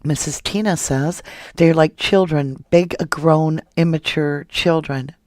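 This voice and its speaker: A woman's voice: low voice, slow, a little mellow, dry recording. Woman host, indignant true crime commentator, reads chat, dry close sound. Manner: indignant, questioning, rhetorical. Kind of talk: casual live commentary reading viewer comments.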